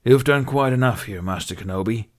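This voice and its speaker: A man's voice: mid-range voice, fairly quick, lightly acted. Man acting a stern, commanding sword master, snapping orders. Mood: stern anger. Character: stern male saber master, commanding and disapproving.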